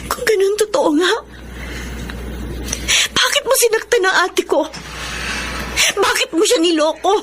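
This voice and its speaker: Radio drama younger sister, young adult, high voice, emotional and pleading, devoted but wounded. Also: female voice, lively intonation, a little slow, long pauses, a bit bright, slight background noise, full character voice. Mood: accusing, anguished. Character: hurt, tearful young woman student, devoted younger sister. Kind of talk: Filipino radio drama acting.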